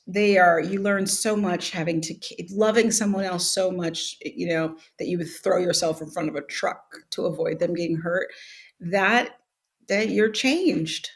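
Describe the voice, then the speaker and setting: Reflective woman, lower voice. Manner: reflective, laughs often, many restarts. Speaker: guest, actress and author. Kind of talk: conversational interview.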